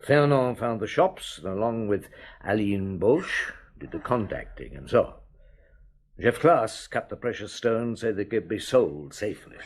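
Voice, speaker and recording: A husky, weary police inspector. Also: male voice, lowish voice, expressive. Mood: accusing. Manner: measured, authoritative. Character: shrewd weary male police inspector, calm. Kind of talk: radio drama acting.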